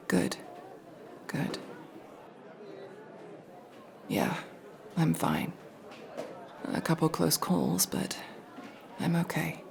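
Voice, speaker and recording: A woman playing a masked tsundere elf mercenary in an intimate ASMR roleplay, speaking in a hushed, halting, breathy and husky voice. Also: sits low, background noise, exaggerated voice. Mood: anxious relief.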